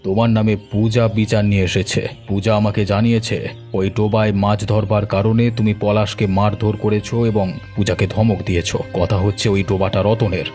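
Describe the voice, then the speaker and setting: A man's voice: fairly low pitch, flat delivery, fairly quick, darkish tone, natural voice. A man playing a stern, authoritative village headman in a cartoon, speaking formally. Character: stern, measured male village headman and judge. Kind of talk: dubbed cartoon dialogue. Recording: music bed behind the voice.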